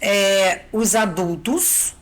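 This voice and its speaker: A woman's voice: medium pitch, very slow. A Brazilian woman hosting a podcast interview, asking curious follow-up questions and affirming often. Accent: Brazilian Portuguese. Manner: curious, asks follow-ups with many affirmations. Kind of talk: conversational interview.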